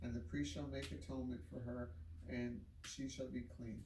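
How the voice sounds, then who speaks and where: A man reading scripture aloud in a measured, solemn way, with occasional stumbles. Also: mid-range voice, little pitch movement. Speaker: scripture reader. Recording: roomy, distant microphone, noisy background.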